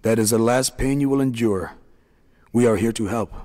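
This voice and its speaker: A man voicing a kind, tiny middle-aged leader of the little people, speaking gently and soothingly. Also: mid-range voice, normal speed, in a large hall, natural voice.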